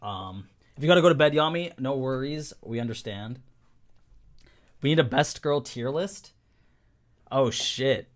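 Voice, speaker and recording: A young man streaming live, chatting casually with a slightly hoarse voice, rambling with fillers and restarts while he reads the chat. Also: medium pitch, expressive, measured pace, long pauses.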